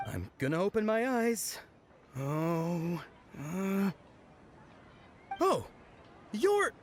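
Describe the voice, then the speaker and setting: A bashful young man from a video game, with a fairly high, lively voice. He speaks earnestly and self-consciously, like someone lovestruck. Faint ambience sits behind him. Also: very slow, slight background noise, full character voice. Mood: flustered. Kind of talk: video game character dialogue.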